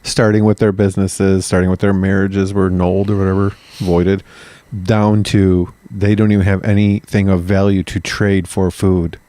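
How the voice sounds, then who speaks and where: A man's voice: deep voice, flat delivery, moderate pace, hardly any pauses, dark tone, dry recording. A man co-hosting a casual history podcast, blunt and joking, often acting out quotes. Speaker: podcast co-host.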